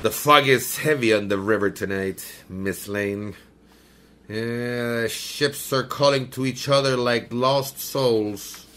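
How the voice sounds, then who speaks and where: An actor playing a menacing male mystic villain in a 1930s-style radio drama, courtly and slow, then threatening. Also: medium pitch, sharp tone. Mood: suave.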